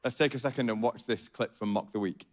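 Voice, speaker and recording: A man with a Yorkshire accent preaching a sermon at a church gathering, earnest and often dropping to a hushed, intimate tone. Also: mid-range voice, fast.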